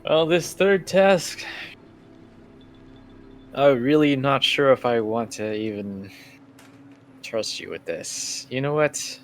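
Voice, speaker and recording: A man voicing a smooth, condescending crime family boss in a tabletop roleplay, speaking deliberately and formally. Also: medium pitch, slight background noise, natural voice. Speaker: guest game master.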